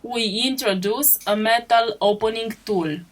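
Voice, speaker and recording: Woman narrating repair steps over clear noise. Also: on the high side, unhurried. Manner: short step-by-step statements. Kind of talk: scripted instructional narration. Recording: clearly noisy track with clicks.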